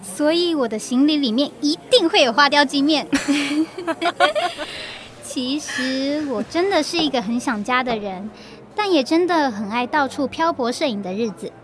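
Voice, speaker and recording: A woman voicing a cheerful, affectionate young wandering photographer in a Mandarin audio drama, expressive and teasing, with a Taiwanese accent. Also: sits high, normal speed, a crowd in the background. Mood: warm, wistful. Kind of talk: Mandarin radio drama acting. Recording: music bed under the voice.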